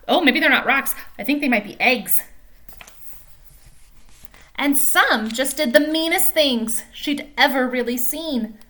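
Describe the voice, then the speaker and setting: A woman reading a picture book aloud to young children in an animated way, pausing to explain and ask questions like a teacher. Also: fairly high pitch. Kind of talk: expressive storybook read-aloud with chatty explanations to children.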